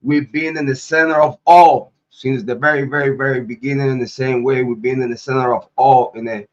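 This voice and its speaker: A man's voice: mid-range voice, steady tone, fairly quick, lo-fi sound. Chatty man hosting a livestream. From Ohio, US. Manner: loose, chatty, repeats himself, laughs often. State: recovering from illness, voice coming back. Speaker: YouTube true crime host. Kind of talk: casual livestream chat.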